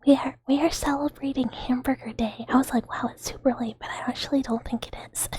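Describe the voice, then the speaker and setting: A woman's voice: sits high, steady tone, fast talker, darkish tone. A woman in her thirties, whispering and giggling through a casual livestream chat as she answers comments from viewers. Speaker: streamer.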